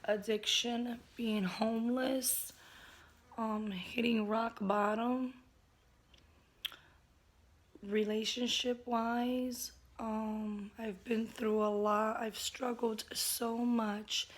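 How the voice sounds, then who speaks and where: A woman with a hoarse voice talking at home in an earnest, open vlog about her own struggles, keeping her naturally loud voice toned down. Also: fairly high pitch, flat delivery, slow pace. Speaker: vlogger. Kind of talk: candid personal vlog talk. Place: at home, indoors.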